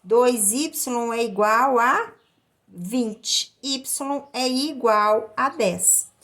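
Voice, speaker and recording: A woman's voice: a little high, very slow, a bit bright. A woman with a Brazilian accent explaining a lesson step by step as a maths teacher, in a didactic way with repeated phrases. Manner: didactic, repeats phrases and checks in with short tag questions.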